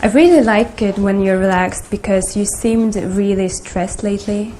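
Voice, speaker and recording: A woman's voice: medium pitch, moderate pace, mellow, a little hiss or hum, natural voice. A woman acting as a sweet, shy office colleague in casual dialogue, polite and hesitant. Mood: shy, pleased. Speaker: actor in an interactive dating game.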